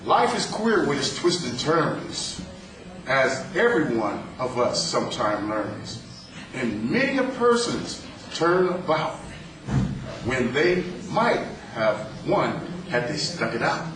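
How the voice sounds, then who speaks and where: A man in his fifties with a loud voice, reciting a poem to a rally. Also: mid-range voice, very animated. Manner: loud, declaiming with slow emphatic delivery. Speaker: rally speaker. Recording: echoey, distant, with clear background noise.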